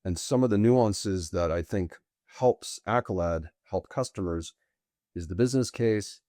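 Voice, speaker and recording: Middle-aged man, very low pitch, warm dark tone, dry close sound, long deliberate answers. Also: dry recording. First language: bilingual with Portuguese. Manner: long winding sentences, deliberate. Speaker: guest, sales executive. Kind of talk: conversational interview answers.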